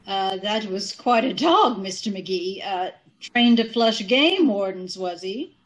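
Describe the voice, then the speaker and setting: Woman playing haughty, slow-talking society lady. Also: medium pitch, animated, crowd noise, poor audio. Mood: coolly skeptical. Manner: prim, condescending, measured. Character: haughty, genteel society woman, coolly one-upping. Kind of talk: old-time radio comedy acting. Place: indoors on a live Zoom call.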